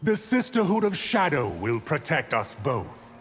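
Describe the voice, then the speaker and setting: A scornful, scheming sorcerer villain from a video game. His theatrical voice swings dramatically in pitch and moves slowly and deliberately, ringing in a long hall reverb. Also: very animated, a bit bright, faint music behind. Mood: haughty scheming. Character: scheming male evil sorcerer, arrogant and scornful.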